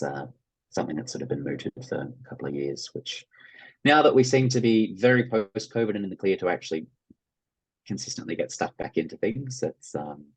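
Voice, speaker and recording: An Australian man chatting casually as an interview guest, telling anecdotes in an animated way and acting out quoted remarks. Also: a bass voice, creaky, slightly rough, rough recording. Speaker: interview guest, actor and writer.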